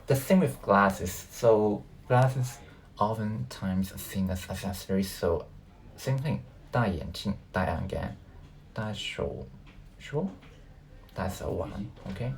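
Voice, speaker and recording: A man who natively speaks Cantonese, giving casual reaction commentary as a video host, haltingly thinking aloud. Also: lowish voice, slight background noise, poor audio.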